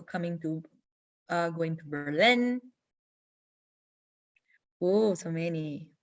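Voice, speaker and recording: A woman's voice: mid-range voice, fairly bright tone, dull audio. A young Brazilian woman hosting an online info session over a video call, explaining steps in a friendly, instructive way with small slips. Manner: friendly, instructive, non-native English with slips. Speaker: webinar host.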